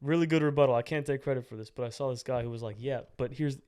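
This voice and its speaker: A young American man co-hosting a podcast in relaxed casual chat, full of slang and quick agreement. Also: medium pitch, smooth. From United States. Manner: laid-back, lots of "yeah" and slang. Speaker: podcast co-host.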